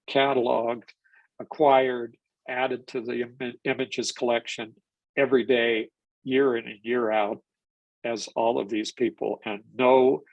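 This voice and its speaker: A man's voice: mid-range voice, dry recording, rough recording. An older man presenting a genealogy webinar, stuffed up from a cold, rambling with restarts and fillers. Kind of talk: live webinar lecture.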